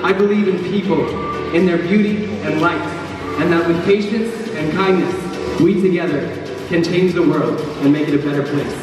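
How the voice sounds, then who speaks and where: A young man from Israel, a pageant contestant, giving a warm rehearsed self-introduction on a large indoor stage. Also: fairly high pitch, moderate pace. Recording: echoey hall from far off, music.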